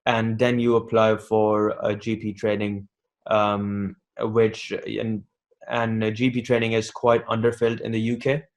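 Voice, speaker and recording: A man's voice: lowish voice, completely flat delivery, unhurried. A man on a webinar panel, giving casual advice as a doctor with frequent ums and restarts over a video call. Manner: hesitant, many ums and restarts.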